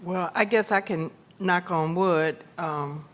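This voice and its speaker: A bookstore panelist speaking in a measured, deliberate way at a conference. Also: mid-range voice, fairly bright tone. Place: conference session room.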